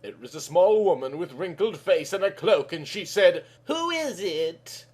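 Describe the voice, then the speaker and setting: A man's voice: fairly high pitch, normal speed, darkish tone, slightly rough. A man narrating a storybook tale in a naive, childlike manner, with mangled words.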